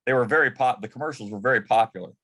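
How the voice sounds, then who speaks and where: A man's voice: medium pitch, racing, sharp tone. A man telling an anecdote casually as a panel guest, correcting himself as he goes. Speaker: panel guest, former celebrity assistant.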